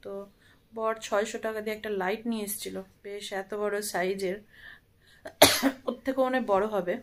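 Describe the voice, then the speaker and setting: A woman's voice: mid-range voice, fairly even intonation, normal speed, heavy background noise. A woman, narrating a casual family vlog and talking directly to her viewers in a conversational way. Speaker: family lifestyle vlogger.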